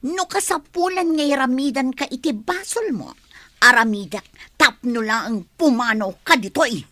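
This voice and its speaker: A woman's voice: high-pitched, moderate pace, sharp tone, no room sound. A woman playing a spiteful, confrontational jilted woman in a melodramatic audio drama. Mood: angry, threatening. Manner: confrontational, scolding. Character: spiteful, hot-tempered jilted woman, threatening and blaming.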